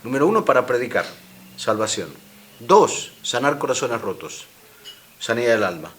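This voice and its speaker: A man's voice: medium pitch, big pitch swings, normal speed, fairly bright tone. A man with an Argentine accent, a guest speaker, explaining his teaching in an earnest way in a studio interview. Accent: Argentine Spanish. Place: radio studio.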